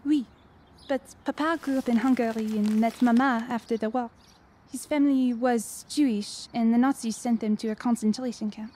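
An actress playing a sweet, curious young French music student, earnest and inquisitive, in a radio drama. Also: female voice, a little high, steady tone, moderate pace, dark tone, natural voice. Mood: friendly, curious.

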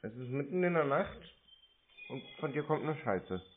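A man's voice: fairly high pitch, sing-song, normal speed, husky. A man in a casual phone conversation, answering tersely and bluntly in a grumbling way. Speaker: prank scam-baiter. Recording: narrow-band phone sound.

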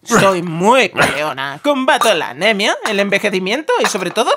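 A woman voicing a sassy, proud female eggplant in an animated comedy, boastful and haughty. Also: mid-range voice, normal speed.

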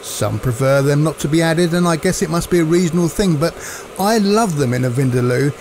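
A man, narrating cooking instructions in a measured, explanatory way. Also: on the high side, animated, a little mellow, a little hiss or hum. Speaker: cooking presenter.

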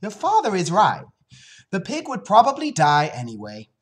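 A woman voicing a matter-of-fact farm mother in a children's storybook, explaining things in a brisk, practical way. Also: mid-range voice, huge pitch swings, voice pushed up. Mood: firm. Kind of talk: children's storybook read-aloud.

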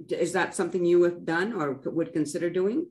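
A woman's voice: fairly low pitch, fairly quick, a little mellow. An older woman from Montreal hosting an interview over a video call, asking easygoing, conversational questions. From Montreal, Canada. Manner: friendly, conversational questions with brief affirmations. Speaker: interview host. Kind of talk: casual interview.

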